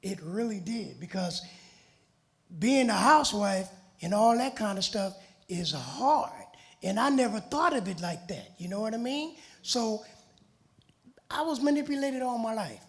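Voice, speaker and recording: A middle-aged man from Los Angeles with a raspy voice, giving a plain-spoken personal testimony in a streetwise storytelling manner. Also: high-pitched, animated, unhurried. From Los Angeles, USA.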